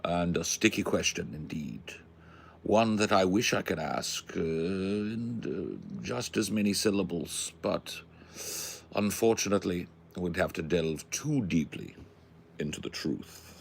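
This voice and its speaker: Man putting on a deep, languid English gentleman. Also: animated, vocal fry, a little hiss or hum, heavily acted. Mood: coy, pondering. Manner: musing, drawn-out, wordy.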